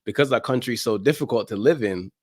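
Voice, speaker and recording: Male podcast guest, very quick, emphatic casual talk, close dry sound. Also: mid-range voice, dry recording. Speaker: guest, soccer podcast creator. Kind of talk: animated casual interview chat.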